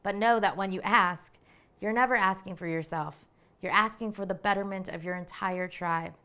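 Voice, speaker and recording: A woman hosting a literature segment, introducing it and reading from her own novella in an expressive way. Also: medium pitch, moderate pace, clean tone. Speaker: segment curator and host.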